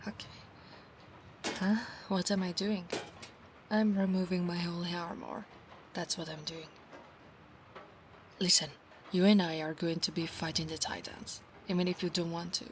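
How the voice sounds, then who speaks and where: A woman's voice: on the low side, level tone, normal speed, pauses often, slight background noise, close to an everyday voice. A woman voicing a proud, insistent female centaur knight of a holy order in an ASMR roleplay, formal and commanding in her speech. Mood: softening, earnest. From Italy. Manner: formal, commanding, haughty, archaic phrasing.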